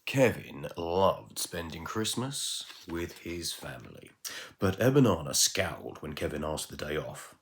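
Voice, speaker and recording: Deep-voiced man reading a storybook. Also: sing-song, measured pace, slight background noise. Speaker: teacher reading a bedtime story. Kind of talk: storybook read-aloud.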